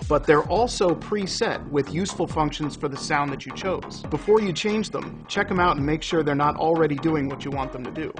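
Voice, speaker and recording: Higher-voiced man explaining a product. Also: brisk, hardly any pauses. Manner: conversational, explanatory. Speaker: product presenter. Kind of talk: semi-scripted product explanation. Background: synthesizer music.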